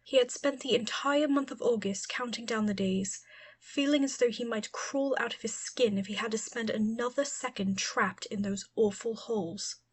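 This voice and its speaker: A woman's voice: fairly high pitch, normal speed, slightly rough. A woman reading a scripted story as an audiobook narrator.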